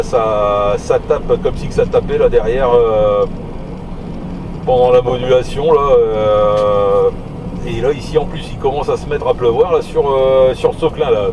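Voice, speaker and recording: A man's voice: mid-range voice, measured pace. A man in a casual two-way radio chat, talking hesitantly, drawing out words and repeating his phrases. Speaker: CB radio operator. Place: inside a car outdoors. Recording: muffled, band-limited, noisy car, distant mic.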